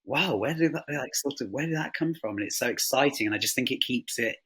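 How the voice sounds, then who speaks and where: Bright, husky man talking enthusiastically. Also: mid-range voice, lively intonation, quick pace. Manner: enthusiastic, thinking aloud. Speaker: audio drama production guest. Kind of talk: casual interview chat.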